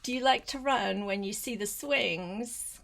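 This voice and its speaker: An older woman reads a children's picture book at a slow pace. Her manner is gentle and coaxing, and she often turns from the story to speak directly to the little listener. Her pitch sits in a middle range for a woman. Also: creaky. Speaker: grandmother reading a picture book aloud. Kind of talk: read-aloud storytelling to a small child, with chatty asides.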